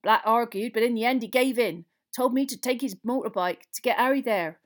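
A woman voicing a gruff, loyal giant man in a storybook, with a growling, rough and folksy manner. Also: a little high, fairly quick, voice pushed up, lightly acted. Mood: indignant.

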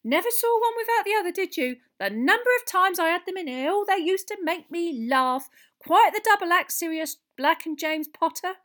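A woman voicing a chatty, curious female pub landlady, gossipy and expressive, in a storybook. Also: very high voice, moderate pace, voice pushed up.

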